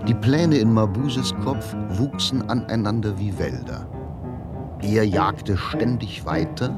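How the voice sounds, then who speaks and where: Male narrator for radio drama: deep, unhurried, deliberate clipped phrasing over clear music. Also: expressive. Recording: music behind the voice.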